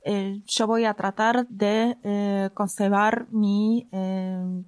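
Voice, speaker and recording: A woman in her thirties, a native Polish speaker with an Argentine Spanish accent, telling her story as a podcast guest with frequent "eh" pauses. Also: medium pitch, fairly even intonation, darkish tone, clean tone, no room sound, clean studio sound. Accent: Argentine Spanish, Rioplatense. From Poland. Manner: hesitant, frequent "eh" fillers. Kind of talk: casual conversational storytelling.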